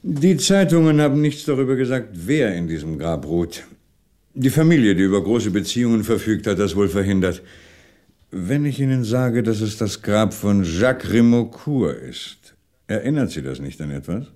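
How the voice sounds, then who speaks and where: A man acting as a shrewd police commissioner in a 1960s German radio crime play. His voice is low and mellow, and it moves widely in pitch as he questions deliberately, leaving pointed pauses. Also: lively intonation, close to an everyday voice. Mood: probing. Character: shrewd, probing male French police commissioner.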